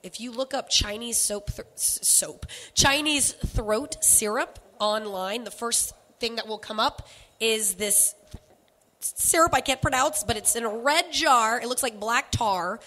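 Chatty young woman, bright voice. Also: a little high. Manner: chatty, laughing, fast asides. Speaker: voiceover actor and singer on a panel. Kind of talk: casual panel talk. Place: convention panel room, indoors. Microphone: handheld microphone.

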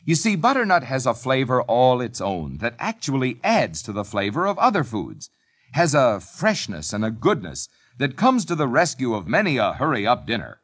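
A man giving a cheerful sales pitch as a 1950s radio commercial announcer. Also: wildly swooping pitch, moderate pace, a bit bright.

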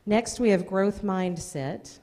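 A woman's voice: mid-range voice, slow, a crowd in the background, noisy background. A middle-aged American woman announcing award nominees at a public meeting from a podium, reading out names with informal asides. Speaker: school district presenter. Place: indoor meeting room at a podium. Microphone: gooseneck podium microphone. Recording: echoey meeting hall, long reverb.

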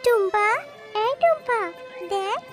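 Woman acting childlike magical cartoon creature, extremely high voice, sweet, earnest, helpful, loud tinkling music behind. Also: expressive, slow, full character voice. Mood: sweet, eager. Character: childlike magical talking jackfruit and little fox girl, sweet, helpful. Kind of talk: children's fairy-tale cartoon dubbing.

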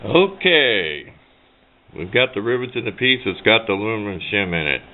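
Folksy guy rambling thru a diy demo, kinda low voice, tinny phone-like sound. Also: sing-song, normal speed. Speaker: hobbyist radio video maker. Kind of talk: casual hands-on demonstration commentary. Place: indoor workbench. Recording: tinny, band-limited camera mic with noise.

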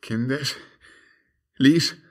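An actor playing a brooding, commanding Byzantine emperor in a solemn, declamatory 1950s radio drama style. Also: male voice, mid-range voice, drawn-out words, a little mellow. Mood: stunned, grave. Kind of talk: 1950s German radio drama acting in verse.

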